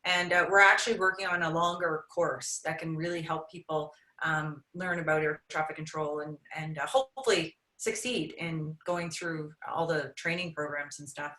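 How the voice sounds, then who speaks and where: A woman's voice: sits low, steady tone, moderate pace, few pauses, sharp tone. A woman with a Canadian accent hosting a casual panel webinar. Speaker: webinar host. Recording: roomy echo on webinar call.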